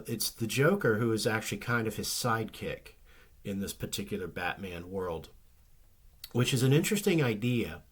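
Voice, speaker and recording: A man chatting casually about comic books, his voice a little rough and nasal from a recent flu, rambling with many ums and restarts. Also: lowish voice. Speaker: comic book vlogger. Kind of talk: casual chat.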